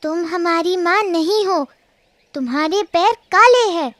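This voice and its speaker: Squeaky little cartoon goat kid. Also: female voice, a little slow, fairly bright tone, dry recording. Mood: wary, defiant. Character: cautious, childlike little goat kid, small and alert. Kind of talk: children's cartoon story acting.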